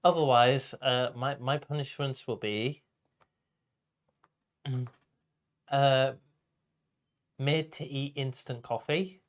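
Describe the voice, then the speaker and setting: Slow, halting man co-hosting a show. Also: medium pitch, steady tone. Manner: halting, repeats words, clears throat. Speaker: show co-host. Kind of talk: casual show presenting.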